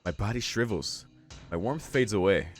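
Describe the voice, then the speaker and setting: Low-voiced man reading a visual novel. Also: lively intonation, normal speed. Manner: casual, swearing asides between read lines. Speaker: let's play host, reader. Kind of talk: reading visual novel narration with casual reactions. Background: game music.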